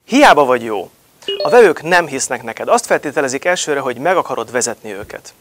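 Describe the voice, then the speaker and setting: Emphatic man with a lively voice. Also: mid-range voice, over loud music. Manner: emphatic, rhetorical questions, gesturing hands. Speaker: marketing speaker. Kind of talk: promotional talk to camera. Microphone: lapel mic.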